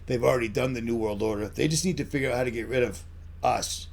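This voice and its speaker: A middle-aged American man with a gravelly, creaky voice at an average pitch. He talks off the cuff like an online channel host, bluntly pressing his points with rhetorical questions. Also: fast. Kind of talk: off-the-cuff monologue commentary.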